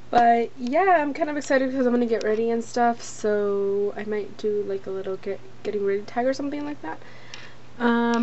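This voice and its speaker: A woman's voice: a little high, dark tone. A young American woman vlogging in a casual, rambling way, talking into a handheld camera at home. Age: young adult. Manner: informal, rambling, frequent "like". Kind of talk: casual chatty vlog. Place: small rooms at home, closet and bedroom. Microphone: handheld camera at arm's length. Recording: dull, noisy handheld camera audio.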